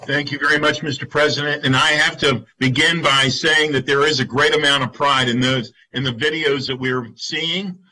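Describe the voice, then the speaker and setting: A man's voice: on the high side, steady tone, normal speed, hardly any pauses, pushed and bright, low-quality recording. A man chairing a committee, making formal, emphatic remarks in a council meeting over a video call. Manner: emphatic, appreciative.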